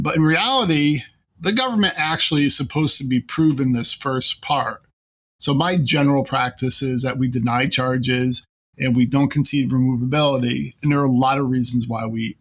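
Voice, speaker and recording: A man's voice: mid-range voice, fairly bright tone. A man hosting a panel talk, explaining things in an informal, conversational way. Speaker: podcast host and immigration lawyer.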